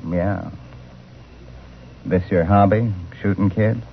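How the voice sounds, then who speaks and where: An actor playing a hostile, taunting Old West gunfighter in an old radio drama. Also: male voice, low-pitched, takes it slowly, vocal fry, exaggerated voice. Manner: sneering, challenging. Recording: noisy, tinny old radio recording.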